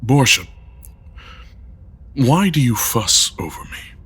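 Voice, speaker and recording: Awkward brooding leader, very husky, halting, lively intonation, audio drama. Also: male voice, medium pitch, exaggerated voice. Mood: hesitant, self-conscious. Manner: halting, deliberate, sighing. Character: brooding, overworked, socially awkward male leader with a beard.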